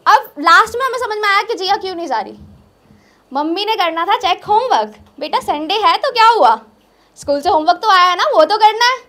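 A woman's voice: very high-pitched, expressive, a little fast, bright, forceful tone. A young woman doing conversational observational stand-up, repeating phrases for emphasis into a handheld mic. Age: young adult. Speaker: stand-up comedian. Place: small indoor open-mic stage. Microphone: handheld microphone.

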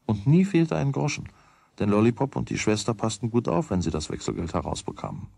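A man's voice: on the low side, very animated, fairly quick, vocal fry, dark tone, slightly rough, no echo, exaggerated voice. A man narrating a children's radio play in a scripted storytelling style.

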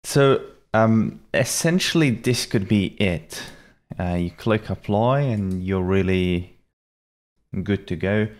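A young adult man explaining software step by step in a measured, instructional way. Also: lowish voice. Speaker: tutorial presenter. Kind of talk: step-by-step software tutorial narration. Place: small indoor room at a computer.

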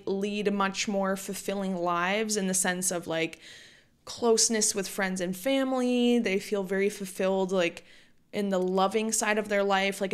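A woman's voice: medium pitch, clear, resonant tone. A woman in her late twenties hosting a solo podcast, talking casually with lots of "like" fillers. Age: late 20s. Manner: chatty, frequent "like" fillers. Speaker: podcast host.

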